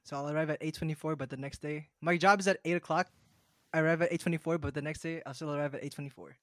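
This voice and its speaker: A man's voice: fairly high pitch, steady tone, racing, no room sound, studio quality. A young man in casual chat with friends on a podcast, joking, laughing often and rambling. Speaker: podcast guest.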